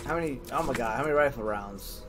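A man's voice: medium pitch, expressive, fast talker. A man doing casual gameplay commentary, thinking aloud and chatting informally to his viewers. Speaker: gamer, host of a let's play. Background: game music.

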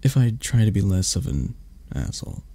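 A man playing an arrogant, prickly CEO boss who turns vulnerable and flirty, in an intimate, halting ASMR roleplay that often drops toward a whisper. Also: lowish voice, big pitch swings, creaky, dark tone. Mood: tender, halting.

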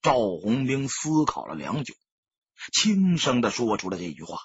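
Guy telling a dramatic audiobook story, raspy breathy voice, bit dull sounding. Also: mid-range voice, very animated, normal speed, dry recording. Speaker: audiobook narrator.

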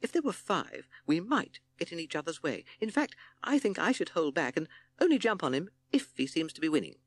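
A man's voice: fairly high pitch, big pitch swings, a little fast, no echo, pitched above the performer's own voice. A man voicing a timid, cowardly little storybook toad who stammers and hesitates nervously.

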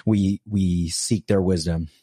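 A man's voice: sits low, fairly even intonation, slow, warm tone, husky, no echo, clean studio sound. A man in his thirties chatting as a podcast guest, easygoing, laughing often and rambling with restarts. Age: 30s. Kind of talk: casual interview chat.

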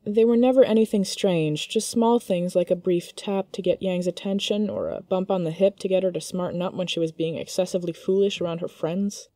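A woman's voice: fairly low pitch, fairly quick, few pauses, mellow, dry recording. A woman reading scripted narration of a fan fiction story as its narrator.

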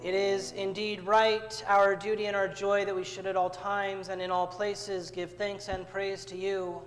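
A man serving as pastor, leading the communion liturgy in a measured, solemn way, recorded from a far camera in a church sanctuary. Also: high-pitched. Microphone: far camera at back of church. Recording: church livestream with long reverb.

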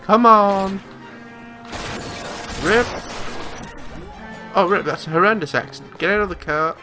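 A man's voice: high-pitched, a little slow. A man giving casual, joking let's play commentary on a video game, blurting out reactions. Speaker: gaming let's player. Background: video game audio and music.

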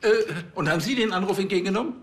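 Casting: fussy, irritable police boss, high bright voice, snappy, quick to exasperate, TV comedy. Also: male voice, slight background noise. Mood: exasperated. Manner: hesitant fillers, snappy questioning. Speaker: actor in a police comedy series.

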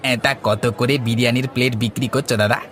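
A man's voice: medium pitch, steady tone, quick pace, fairly bright tone, some chatter behind, slight background noise, lightly acted. A man playing an eager, outspoken young eatery worker in a cartoon. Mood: eager, flattering. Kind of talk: Bengali cartoon dialogue.

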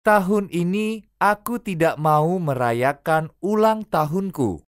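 A woman reading short phrases slowly and clearly, each repeated for language learners. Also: on the low side, lively intonation, no echo, clean studio sound. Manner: careful, clearly enunciated. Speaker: native Indonesian speaker reading phrases.

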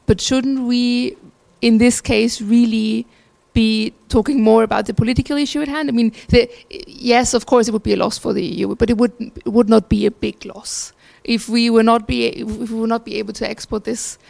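A woman's voice: fairly high pitch, steady tone, moderate pace, vocal fry, hardly any pauses, a little mellow. A young woman from Austria, a student asking an earnest question at a seminar into a handheld mic. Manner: earnest, with many fillers. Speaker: student discussant. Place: lecture room.

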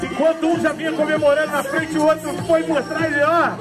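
A man announcing a running event outdoors in a hyped, projecting manner with drawn-out words. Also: very high-pitched, little pitch movement, far from the mic. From Brazil. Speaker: race announcer. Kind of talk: live sports event announcing. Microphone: handheld microphone. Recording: outdoor PA, loud music and crowd.

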